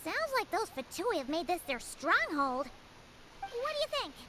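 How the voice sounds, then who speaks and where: A woman voicing a tiny, excitable fairy companion in a video game, squeaky, childlike and exclamatory. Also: normal speed, background noise. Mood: excited, curious. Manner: exclamatory, eager.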